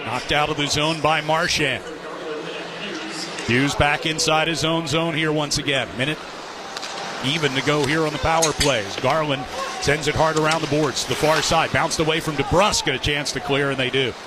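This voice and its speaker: A man's voice: on the high side, fairly even intonation, normal speed. A man doing live play-by-play commentary of an ice hockey game for radio. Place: sports arena broadcast position. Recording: live arena broadcast with loud background noise. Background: loud arena noise.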